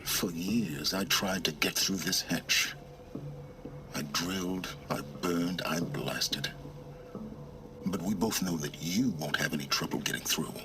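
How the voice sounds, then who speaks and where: Low-voiced, aloof scheming scholar. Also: male voice, background noise. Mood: cool, calculating. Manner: measured, cryptic, formal, theatrical. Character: aloof, calculating middle-aged male scholar and secretive tinkerer. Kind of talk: dramatic video game acting.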